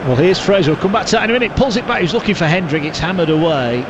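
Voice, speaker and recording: A man calling live football on radio, brisk play-by-play that rises with the action. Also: sits high, expressive, lo-fi sound. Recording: broadcast with loud stadium noise behind.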